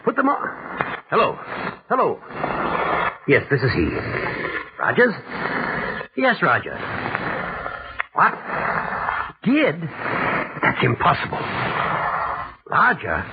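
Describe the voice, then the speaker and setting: An actor playing a pompous university head in a 1940s radio drama, sputtering, indignant and repeating himself in disbelief. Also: male voice, fairly high pitch, huge pitch swings, slow pace, long pauses, full character voice. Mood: flustered disbelief. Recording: noisy, muffled 1940s radio recording.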